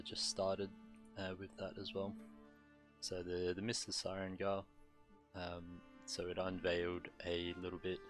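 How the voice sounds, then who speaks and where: A man streaming a game, commenting in a halting, matter-of-fact way and reading story text aloud. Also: deep voice.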